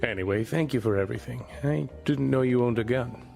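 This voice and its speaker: A man playing a crude, wisecracking noir-style hero in a comedy audio drama, delivered deadpan. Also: medium pitch, fairly quick, vocal fry, soft background music, lightly acted. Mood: joking.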